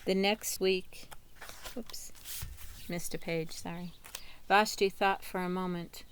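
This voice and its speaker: A woman reading a children's picture book aloud as a storyteller, in a deliberate manner. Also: lowish voice, steady tone, slight background noise. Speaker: storybook reader.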